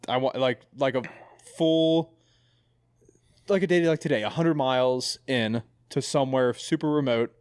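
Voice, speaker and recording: A man's voice: medium pitch, normal speed, darkish tone, clear, resonant tone, dry recording. A man in his late twenties hosting a casual podcast chat in a lodge, rambling, jokey and often correcting himself. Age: 20s. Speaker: podcast host. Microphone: podcast mic.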